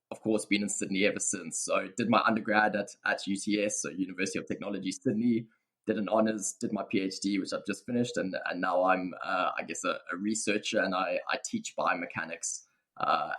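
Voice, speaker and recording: Husky South African man, thoughtful. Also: on the low side, steady tone, fairly quick, fairly bright tone. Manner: thoughtful, hedging with many fillers. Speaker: guest researcher. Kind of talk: podcast interview.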